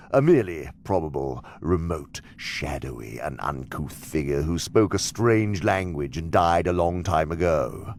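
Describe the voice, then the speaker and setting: An actor playing a cunning, condescending senior demon who lectures with imperious scorn in an audio drama. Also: male voice, deep voice, slight background noise. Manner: scornful, deliberate, theatrical lecturing. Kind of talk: audio drama monologue-like instruction.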